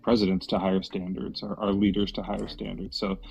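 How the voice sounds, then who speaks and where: Low male debater, loose and joking, filler-heavy. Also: flat delivery, a little fast, gravelly, a little mellow, breathy edge, poor audio. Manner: rambling, joking, many "like"s. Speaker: debate guest. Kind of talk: casual online debate.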